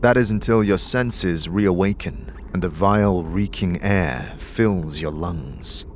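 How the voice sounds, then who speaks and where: A man narrating a fantasy audio drama in the second person, with a slow, grim and deliberate delivery. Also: low voice, a little hiss or hum. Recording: faint music underneath.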